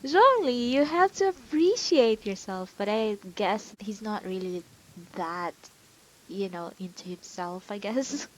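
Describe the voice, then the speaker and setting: A woman's voice: medium pitch, animated, a little slow, rough recording. A woman giving casual, informal playthrough commentary, musing aloud in a giggly way. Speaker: gaming video host.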